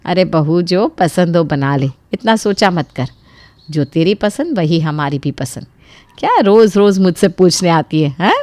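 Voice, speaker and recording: A woman voicing a generous older mother-in-law in a cartoon story, indulgent and reassuring with a gentle scolding touch. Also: fairly low pitch, animated, measured pace, no room sound.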